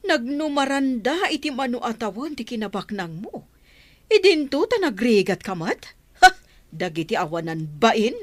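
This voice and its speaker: A woman's voice: a little high, sing-song, normal speed, no room sound. Woman acting a firm, protective aunt in drama. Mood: concerned, indignant. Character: stern, protective aunt taking charge against wrongdoers. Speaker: actress in an audio drama. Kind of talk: Ilocano radio drama acting.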